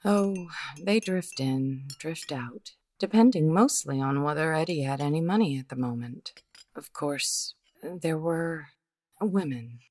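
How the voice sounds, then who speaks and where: A woman playing a weary, guarded, bittersweet widow in the style of a 1950s radio detective drama, speaking in a measured, hesitant way. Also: sits low, a little mellow. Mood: jaded, matter-of-fact.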